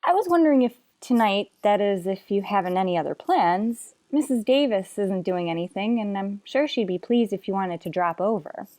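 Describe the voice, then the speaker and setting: Woman playing a mellow, wry schoolteacher. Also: medium pitch, animated, moderate pace, hardly any pauses, no echo, lightly acted. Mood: polite, wry. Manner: dry, sardonic asides. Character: wry, quick-witted female schoolteacher fending off suitor. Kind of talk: old-time radio comedy acting.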